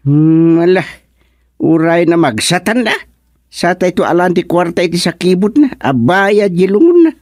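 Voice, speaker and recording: A man's voice: sits high, expressive, moderate pace, darkish tone, no echo, studio quality. A man playing a practical village man in an Ilocano radio comedy, talking in a matter-of-fact way. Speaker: radio drama character.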